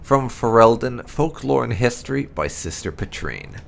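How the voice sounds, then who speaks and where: A man reading fantasy lore aloud as dramatic narration, in a deliberate storyteller's delivery. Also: mid-range voice, big pitch swings, creaky. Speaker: gameplay channel host. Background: music.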